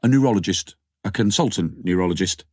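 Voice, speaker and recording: A man's voice: sits low, expressive, fairly quick, no room sound, studio quality. Man voicing a keen, slightly awkward doctor. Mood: keen, chatty. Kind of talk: audiobook character dialogue.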